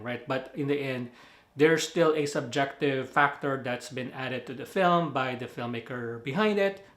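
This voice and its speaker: A man's voice: mid-range voice, a bit bright, roomy sound. A man from the Philippines with a Filipino accent, giving a casual spoken movie review with lots of fillers and self-corrections. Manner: rambling, many fillers, laughs at own slips.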